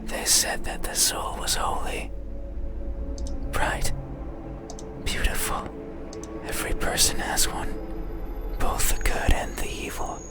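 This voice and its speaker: A performer voicing an eerie, hollow, mysterious being in a breathy, halting whisper for a horror game. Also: natural voice. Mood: wistful, hollow. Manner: slow, halting, hushed delivery. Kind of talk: horror visual novel voice acting. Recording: whisper under loud music. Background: loud music.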